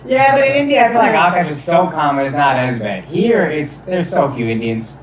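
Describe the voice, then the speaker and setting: A man's voice: on the high side, sing-song, brisk, recorded from a distance, a loud crowd. Laid-back man on a roomy podcast. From United States. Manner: laid-back, swears casually, laughs often. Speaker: guest comedian. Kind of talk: casual podcast chat.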